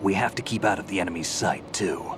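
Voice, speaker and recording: A man's voice: sits low, quick pace, noisy background, close to an everyday voice. A man playing a battle-hardened, confident soldier in a video game cutscene, speaking in a terse, brusque, commanding way. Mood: terse, businesslike.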